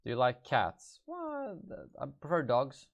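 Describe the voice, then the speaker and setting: A man's voice: mid-range voice, wildly swooping pitch, a little slow, lo-fi sound. Hesitant young Swedish man. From Sweden. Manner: thinks aloud, hesitant and offhand. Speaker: gaming YouTuber. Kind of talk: live-stream Q&A, reading viewer questions aloud.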